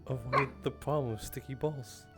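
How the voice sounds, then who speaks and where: A man's voice: mid-range voice, fairly even intonation, moderate pace, gravelly. A man doing improvised roleplay chat in a teasing, wry way, as a streamer in character. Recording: music playing behind the voice. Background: music.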